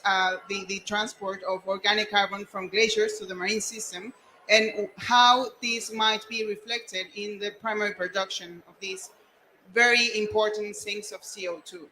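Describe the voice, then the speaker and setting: A woman's voice: mid-range voice, bright, forceful tone, slight background noise, rough recording. A woman scientist speaking spontaneously on a panel, earnest and searching for her words.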